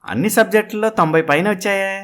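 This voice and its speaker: A man playing a mild middle-aged father who talks in a measured, reasoning way in an animated moral story. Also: sits high, natural voice. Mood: approving, gentle.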